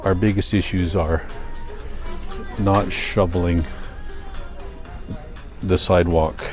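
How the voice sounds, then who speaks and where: An older Canadian man talks in a deep voice at a very slow pace. He thinks aloud as a casual vlogger does, stopping, restarting and correcting himself. Faint music sits behind him. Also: fairly even intonation. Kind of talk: casual rambling vlog monologue.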